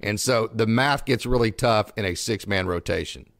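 A man's voice: lowish voice, moderate pace, gravelly, a bit bright, dry recording, studio quality. A bilingual man hosting a casual live sports show, effusive and emphatic as he addresses his viewers. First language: bilingual English and Spanish. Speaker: live show host reading viewer messages.

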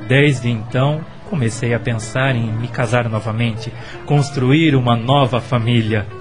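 An actor playing a gentle, lovestruck middle-aged widower in a radio soap, speaking earnestly and courteously. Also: male voice, mid-range voice, steady tone, measured pace, over music, lightly acted. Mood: reflective, wistful. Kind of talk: Brazilian radio soap acting.

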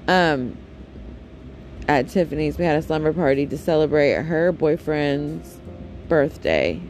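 A man with a deep, raspy voice, chatting casually and candidly on his solo podcast, with frequent ums and self-corrections. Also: a little mellow. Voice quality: deep and raspy, especially in the morning. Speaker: podcast host.

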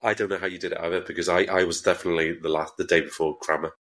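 A man's voice: low voice, flat delivery, very fast, fairly bright tone. A young man co-hosting a podcast, asking questions in a chatty way with lots of "like" and laughter. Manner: chatty interviewer, hesitant fillers, laughs. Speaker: podcast co-host. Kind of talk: casual podcast chat.

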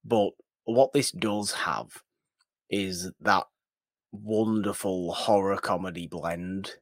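Man, low voice, dry sound, casual film podcast co-host, halting, repetitive emphasis. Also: steady tone, dry recording.

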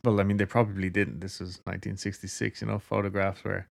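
A man's voice: low voice, flat delivery, quick pace, gravelly. A man with an Irish accent casually telling a story to a co-host, laughing often and swearing freely. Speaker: podcast co-host.

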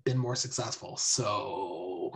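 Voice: low-pitched, slow pace. A podcast co-host in casual chat, upbeat and teasing, laughing often.

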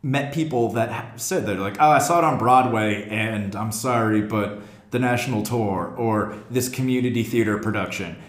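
A man's voice: fairly low pitch, moderate pace. A man hosting a casual theater podcast, talking like a director and often acting out imagined remarks. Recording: roomy, echoey room sound.

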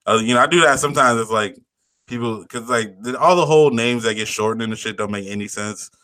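A man's voice: medium pitch, a little fast, bright, forceful tone, no echo, low-quality recording. A young man co-hosting a podcast, chatting in an easygoing, slangy, rambling way. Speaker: podcast co-host. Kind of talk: casual chat.

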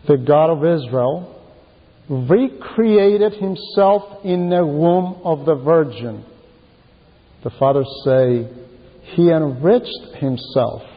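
A man preaching a sermon in an emphatic, deliberate manner, repeating key phrases for emphasis. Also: fairly high pitch, breathy edge. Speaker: preacher reading a translated homily. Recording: muffled, very reverberant hall sound.

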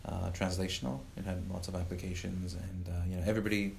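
A man in his thirties from Uganda, a professor answering interview questions in a measured way, with many "uh" fillers and restarts. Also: low voice, little pitch movement, creaky, a little hiss or hum. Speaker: professor and guest. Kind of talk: podcast interview.

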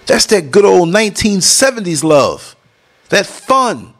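A man's voice: big pitch swings, a little slow, gravelly, a bit bright. A middle-aged man from New City, talking casually over concert footage in an emphatic, preachy way, swinging his voice up and down. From New York City. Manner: emphatic, preachy, jumps between high and low tones. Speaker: vlogger and podcast host.

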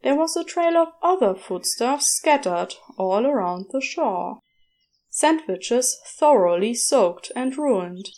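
A woman reading a story aloud as narrator, in an unhurried, measured way. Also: on the high side, lively intonation, a little mellow.

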